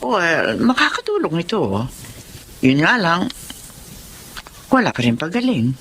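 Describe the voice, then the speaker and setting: An actress playing a concerned, candid doctor in a Filipino drama, frank and questioning. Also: female voice, lowish voice, big pitch swings, a little slow, a bit bright, slight background noise, exaggerated voice. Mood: grave.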